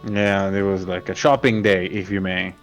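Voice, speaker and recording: A man playing a tabletop game, chatty and quick to laugh. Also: low voice, flat delivery, soft background music. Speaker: player. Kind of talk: casual tabletop game chat.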